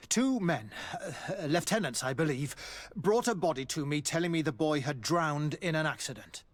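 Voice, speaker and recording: Male game character, evasive military physician, fairly high voice, formal, apologetic, hesitant. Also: animated, a little slow. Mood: anxious, confessing. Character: evasive, formal male army doctor, nervous and deferential. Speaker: military doctor. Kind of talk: dramatic video game acting.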